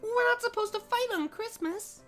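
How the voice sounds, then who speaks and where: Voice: very high voice, normal speed, a bit bright, soft background music, voice pushed up. A performer voicing a timid, childlike, naive female siren with a small, pleading, stammering voice for a story reading. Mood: hurt, pleading. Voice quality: small, thin voice.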